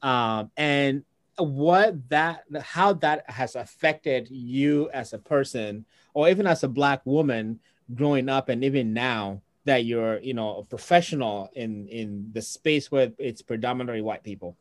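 A man's voice: mid-range voice, a little slow, dry recording. A man who came to the United States from another country, interviewing a guest in a reflective, conversational way and voicing his own inner thoughts. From outside the United States. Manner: reflective, many fillers, acts out inner thoughts.

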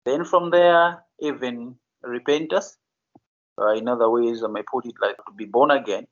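Hesitant Malawian man, lo-fi remote line. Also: medium pitch. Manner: slow, hesitant, many fillers. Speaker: pastor. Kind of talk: interview answers.

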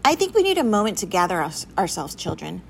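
A woman's voice: fairly low pitch, big pitch swings, fast talker, creaky, a little hiss or hum. A woman voicing a stern, principled female music teacher in a storybook, speaking in a firm, instructive way. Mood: calm, instructive.

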